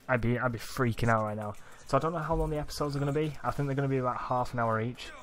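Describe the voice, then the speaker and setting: Groggy young Mancunian gamer. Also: male voice, very fast. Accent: Manchester English. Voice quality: groggy, deep morning voice. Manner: rambling, excitable, swears often. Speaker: let's play gamer. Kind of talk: casual gameplay commentary.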